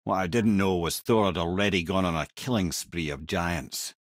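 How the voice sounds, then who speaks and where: An actor playing a witty, learned old advisor in a video game, with a Scottish accent, a raspy voice and a wry, eloquent way of telling tales. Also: male voice, low-pitched, moderate pace, no echo, studio quality. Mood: storytelling. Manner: wry, eloquent, colloquial raconteur. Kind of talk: video game character dialogue and storytelling.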